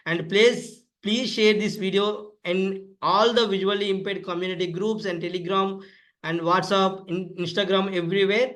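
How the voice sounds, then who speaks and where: A man presenting a step-by-step software tutorial in an instructive way, sometimes spelling things out. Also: sits high, fairly even intonation, normal speed, poor audio. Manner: instructive, spells out words letter by letter.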